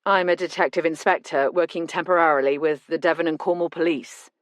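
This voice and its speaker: Woman reading a calm detective inspector. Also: low-pitched, brisk, dry recording, close to an everyday voice.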